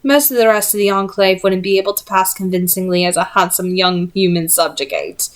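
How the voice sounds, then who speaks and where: A woman's voice: mid-range voice, fairly quick, lightly acted. Woman reading as a cocky, impatient teenage boy hunter. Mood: smug. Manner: cocky, insistent. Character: bold, impatient seventeen-year-old male demon hunter.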